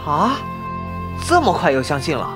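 Brash young male lead acted out for audio drama: crude, exclamatory, wildly varied pitch, music underneath. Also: wildly swooping pitch, moderate pace. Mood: flustered. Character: brash slangy young man, flustered time-traveller.

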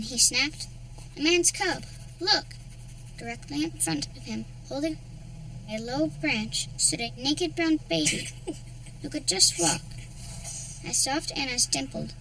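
An actress playing a young woman who reads a jungle story aloud, lively with the animal voices, and recalls her childhood. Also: sits high, heavily acted. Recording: film audio from a distance, music behind.

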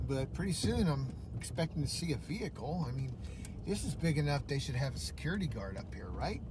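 A man's voice: fairly high pitch, sing-song, a little fast. A man giving casual running commentary as a camping vlogger, thinking aloud in short phrases. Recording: noisy, car and vehicle sounds.

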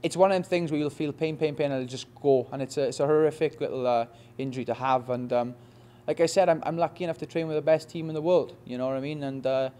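Fast-talking Welsh man, mellow voice. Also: fairly high pitch. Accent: Welsh, Swansea. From Wales. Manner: rapid, repetitive, many restarts and "you know what I mean". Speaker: fighter. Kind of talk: post-fight interview. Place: press backdrop indoors. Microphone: reporters' handheld mics in front.

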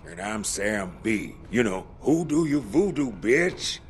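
A man's voice: fairly low pitch, very animated, takes it slowly. A man playing a cocky, swaggering rapper in a video game, bold and brash. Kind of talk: video game cutscene acting. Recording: clearly noisy background.

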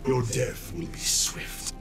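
A man playing a grim, vengeful undead ninja warrior in a video game, solemn and formal, with a harsh breathy growl. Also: slow, close to an everyday voice. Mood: menacing. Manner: solemn, formal, declamatory threats. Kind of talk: video game fighting dialogue. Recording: dramatic music behind the voice.

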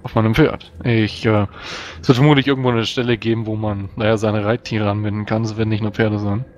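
A man's voice: fairly low pitch, flat delivery, quick pace. Man gaming on a dull, lo-fi mic. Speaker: role-playing game player. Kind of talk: casual tabletop play, describing own actions. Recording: dull lo-fi voice chat, faint noise.

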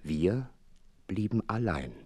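An actor playing a proper, puzzled doctor who also narrates an audio drama in a formal, measured way. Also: male voice, on the low side, big pitch swings, heavily acted. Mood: composed narrating.

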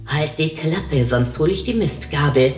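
A woman's voice: low voice, unhurried. A woman voicing a dry, skeptical newlywed who bickers sarcastically in an audio short story. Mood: sarcastic. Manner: deadpan, sarcastic. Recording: roomy echo, faint music.

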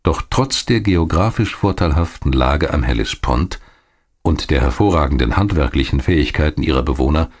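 A man reading scripted documentary narration in a measured, factual manner. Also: low voice.

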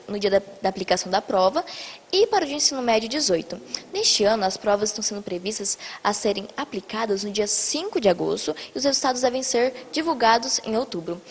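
A young woman reporting for a newscast into a handheld mic, explaining the rules clearly. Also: mid-range voice, in a large hall. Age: young adult. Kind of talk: live news report.

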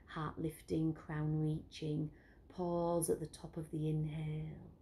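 Soft-spoken woman guiding a slow meditation. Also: fairly low pitch, gravelly. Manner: slow, soft, soothing instructions with frequent whispered phrases. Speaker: meditation guide. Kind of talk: guided breathing meditation.

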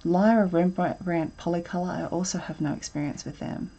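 A woman's voice: fairly low pitch, steady tone, normal speed, dark tone, lo-fi sound. A woman teaching a live drawing session from her desk at home, talking casually and rambling with lots of ums. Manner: chatty, rambling, self-interrupting with ums. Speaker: art instructor. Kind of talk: casual live draw-along chat.

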